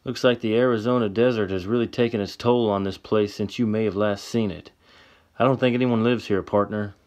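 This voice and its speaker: A man's voice: lowish voice, steady tone, fairly quick, darkish tone, close to an everyday voice. A man voicing a young cowboy newcomer with an eager, slightly lifted voice. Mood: doubtful.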